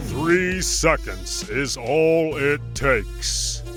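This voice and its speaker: A man playing a dramatic adventure serial narrator in a motion comic, deliberate and suspenseful. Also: fairly high pitch, expressive, music in the background.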